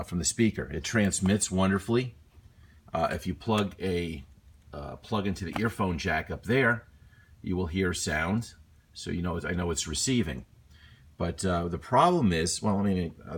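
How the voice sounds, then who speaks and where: A man's voice: deep voice, slight background noise. A man presenting a casual, unscripted repair walkthrough in a rambling, self-deprecating, colloquial way. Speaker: hobbyist presenter.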